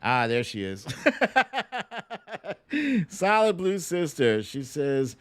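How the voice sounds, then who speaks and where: Slow-talking American man hosting a livestream. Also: on the high side, very animated, dry recording. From United States. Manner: informal, slangy, conversational, plain-spoken. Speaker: host of a political commentary livestream. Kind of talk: casual livestream commentary, taking viewer questions.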